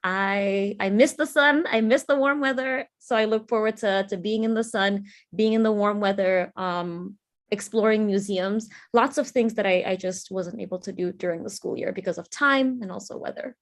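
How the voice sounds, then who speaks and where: An educator woman chats in a relaxed, candid way on a video call, sprinkling her talk with fillers. Her voice is mid-pitched and ordinary. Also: moderate pace. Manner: easygoing, candid, frequent fillers. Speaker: educator, co-host. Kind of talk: casual panel chat.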